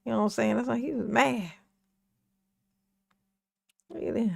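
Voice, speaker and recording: Sassy woman with a deep gravelly voice. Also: moderate pace, darkish tone, dry recording, studio quality. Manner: sassy, mocking, repeats "look, look". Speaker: commentator reacting to court footage. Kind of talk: casual reaction commentary.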